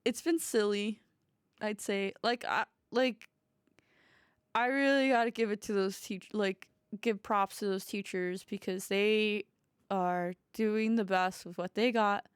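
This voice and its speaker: A woman's voice: medium pitch, steady tone, measured pace, clear, resonant tone, dry recording, studio quality. A woman in her thirties hosting a casual podcast, talking in a chatty, rambling way with lots of "like" and easy giggles. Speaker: podcast host.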